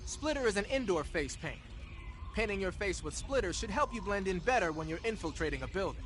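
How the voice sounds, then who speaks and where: A helpful gear adviser from a video game. His voice is pitched high. He explains things in an eager, instructive manner. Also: animated, brisk, a bit bright, slight background noise.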